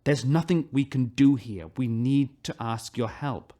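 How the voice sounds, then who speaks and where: A man voicing a measured, earnest ship captain. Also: medium pitch, mellow, natural voice.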